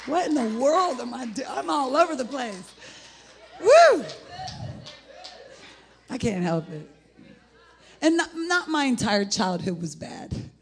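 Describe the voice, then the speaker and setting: A middle-aged woman with an American accent and a husky, gravelly voice, preaching an informal, rambling sermon in an animated, folksy way. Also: sits high. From United States (Indianapolis, Indiana). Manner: animated, rambling, folksy, breaking into laughter and exclamations. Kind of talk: informal sermon with personal testimony.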